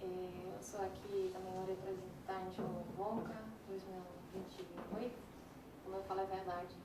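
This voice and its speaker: A woman from the Netherlands with a Dutch accent, a student speaking to a class in halting second-language speech. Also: medium pitch, level tone. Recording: noisy echoey room, far from mic.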